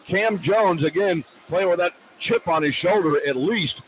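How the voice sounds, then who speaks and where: A man's voice: high-pitched, unhurried, slight background noise, poor audio. A man doing live play-by-play radio commentary at a basketball game, recapping as an announcer on an arena broadcast.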